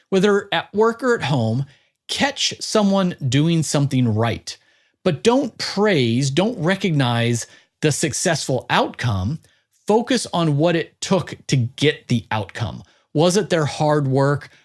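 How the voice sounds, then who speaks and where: Guy hosting a podcast, really lively up-and-down delivery, kinda high voice, punchy and upbeat. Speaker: podcast host and interviewer. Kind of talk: upbeat podcast presenting and interviewing.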